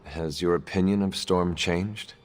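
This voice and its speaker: A man playing a stoic, reserved and supportive video game hero, speaking in formal, measured phrases. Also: low-pitched, fairly even intonation, big-room sound. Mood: calm, probing. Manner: formal, measured, few contractions. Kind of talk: video game dialogue acting.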